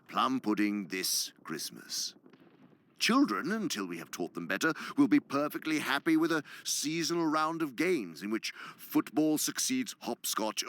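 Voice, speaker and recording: An actor playing an imperious senior demon in a radio play, lecturing pompously and theatrically with precise diction and hushed, emphatic drops. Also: male voice, lowish voice, heavily acted. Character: senior male demon, imperious scholarly uncle, exacting mentor. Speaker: senior demon lecturing his subordinate nephew.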